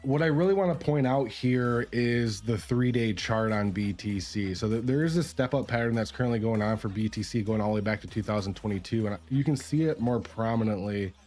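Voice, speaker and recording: A man hosting a casual livestream about chart analysis, talking in a relaxed, chatty way with many fillers, his voice raspy from a cold. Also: fairly low pitch. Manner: relaxed, chatty, filler-heavy talk to viewers. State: raspy, getting over a cold. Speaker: crypto chart livestream host. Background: faint music.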